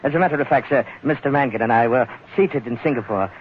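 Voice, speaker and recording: An actor playing a jovial, oily gentleman rogue who talks in florid, pompous phrases, in 1940s radio drama style. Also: mid-range voice, quick pace, lightly acted. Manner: florid, pompous, chuckling diction. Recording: muffled, hissy old radio recording.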